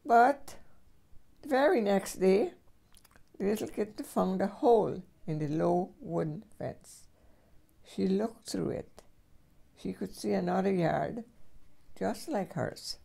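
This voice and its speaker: An older woman reading a children's storybook aloud as a great-grandmother, with expressive storyteller phrasing. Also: medium pitch, slow pace, vocal fry, pauses often.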